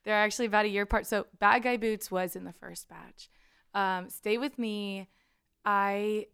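A woman guesting on a radio show as a musician, chatting in a friendly, rambling way. Her voice is smooth and resonant with a slight grit, at a middle pitch. She talks through her stories easily and loosely. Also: fairly even intonation, normal speed, fairly bright tone. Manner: friendly and rambling, with frequent laughs. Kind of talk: casual interview chat. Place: radio studio.

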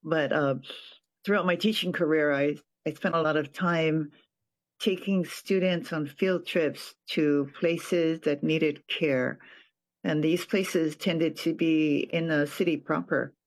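An older man from Hawaii, a retired professor, giving a reflective personal account with frequent pauses and restarted phrases. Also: fairly high pitch, steady tone, poor audio. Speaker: retired professor and nonprofit board president.

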